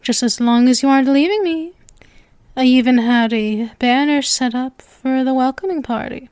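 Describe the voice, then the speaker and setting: Woman acting a sweet, clingy, high-voiced yandere witch. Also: normal speed, darkish tone, clear, resonant tone, dry recording, studio quality, natural voice. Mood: affectionate, nervous. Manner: coy, nervous, gushing, intimate. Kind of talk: ASMR roleplay, scripted acting addressed to listener.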